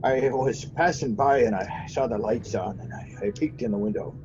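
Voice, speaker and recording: A man's voice: mid-range voice, moderate pace, noisy background, lightly acted. An actor playing a genial, aging beat policeman in 1940s radio drama style, hesitant, folksy and polite. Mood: apologetic, friendly. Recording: dull old radio recording, music behind.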